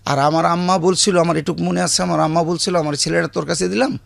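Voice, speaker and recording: A man with a rural Bangladeshi accent, a guest recounting childhood memories in a halting way, often correcting himself. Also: on the high side, fairly even intonation, creaky, no echo.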